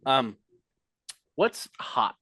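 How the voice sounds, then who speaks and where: A man on a remote call chats with friends, quick to apologize and poke fun at himself. His voice is bright and tense. The sound is dry and close. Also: dry recording. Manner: apologetic, self-deprecating. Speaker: podcast co-host. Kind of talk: casual chat. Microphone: remote call mic.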